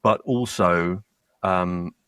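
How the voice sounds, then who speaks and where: A man's voice: deep voice, very slow, fairly bright tone, smooth, dry recording. A British man, a company co-founder, talking casually in an interview and often repeating words and restarting as he explains. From Britain. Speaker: co-founder and guest.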